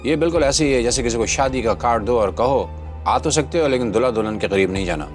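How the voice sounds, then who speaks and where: A man's voice: medium pitch, quick pace. A man hosting a scripted opinion commentary, speaking in a dramatic, rhetorical and sardonic manner. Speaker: host of a commentary channel. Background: background music.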